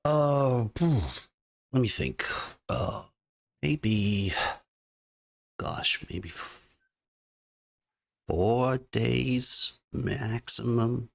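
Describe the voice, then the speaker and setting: A man's voice: medium pitch, expressive, extremely slow, pauses often, voice pushed up, full character voice. A man voicing an evasive male imp servant with a scratchy voice, hedging and loyal to his master. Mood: pleading. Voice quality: scratchy, gravelly.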